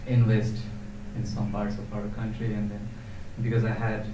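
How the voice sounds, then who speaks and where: A man's voice: on the low side, completely flat delivery, moderate pace. A young man, a student, introducing himself informally, stringing ideas together with "and then". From Nepal. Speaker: university student. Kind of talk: self-introduction at a live-streamed meetup. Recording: dull, roomy, distant and noisy.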